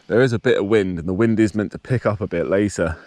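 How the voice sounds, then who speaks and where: A man with a blocked nose and a slightly nasal voice, casually talking through his fishing outdoors by a dam in a relaxed, explanatory way. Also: fairly low pitch, fast, no echo. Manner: relaxed, explanatory, thinking aloud. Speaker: fishing vlogger. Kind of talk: casual outdoor vlog narration.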